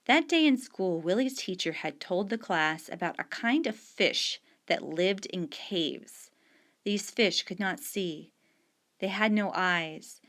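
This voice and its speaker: Slow, steady woman reading a story. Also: medium pitch. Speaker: story reader. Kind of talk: reading a short story aloud.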